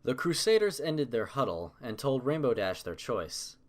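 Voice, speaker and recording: A man's voice: medium pitch, very animated, normal speed. A man reading scripted story narration as the narrator.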